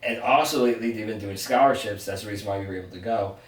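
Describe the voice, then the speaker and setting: A man's voice: on the low side, fast. A man in his twenties co-hosting a podcast, chatting casually in a rambling, self-correcting way. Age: 20s. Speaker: podcast co-host. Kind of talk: casual sibling chat. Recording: echoey room, distant mic.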